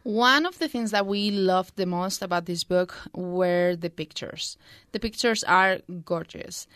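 A woman's voice: on the low side, a little slow, a bit bright, smooth, dry recording, studio quality. A woman in her twenties, a native Spanish speaker and student, giving a prepared book review. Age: 20s.

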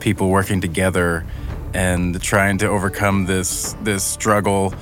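A man's voice: sits low, flat delivery, measured pace, a bit bright, soft background music. A man chatting informally as an interview guest, often searching for his words. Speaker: guest, audio drama sound producer. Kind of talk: casual interview chat.

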